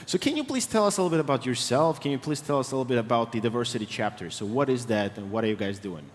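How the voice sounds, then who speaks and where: A man's voice: mid-range voice, animated, racing, slight background noise. Male panel moderator in a hall. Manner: probing, rephrases his questions. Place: large event hall stage. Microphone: headset microphone. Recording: reverberant hall sound.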